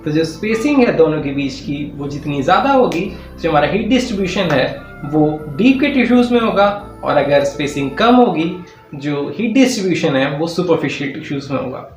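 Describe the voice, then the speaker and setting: A man's voice: a little high, animated, a little fast, soft background music. An Indian man teaching physiotherapy in a methodical, step-by-step explanatory lecture with repetitive phrasing. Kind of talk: explanatory lecture with Hindi-English technical terms. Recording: roomy echo.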